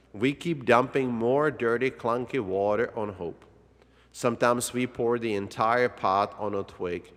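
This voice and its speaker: A man's voice: mid-range voice, fairly bright tone. A man narrating a children's read-along story in a dramatic way, drawing out words for effect. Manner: dramatic, with drawn-out words and exclamations. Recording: long hall-like reverb.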